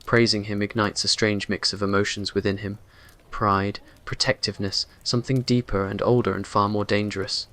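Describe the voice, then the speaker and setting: A man's voice: fairly low pitch, a bit bright. A man reading scripted dramatic narration for a romantic ASMR roleplay in an earnest, brooding way. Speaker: story narrator.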